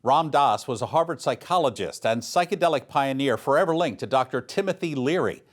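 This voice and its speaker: A man's voice: medium pitch, animated, fairly quick. A man reading scripted local news as the anchor of a community newscast.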